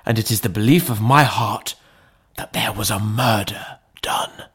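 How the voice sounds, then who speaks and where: A man voicing an agitated, deferential elderly butler in an audiobook, loyal and frightened, speaking haltingly and emphatically. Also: fairly low pitch, a bit bright, breathy edge, pitched above the performer's own voice, heavily acted. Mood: fearful, urgent. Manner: halting, emphatic, servile address. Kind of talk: dramatized audiobook reading.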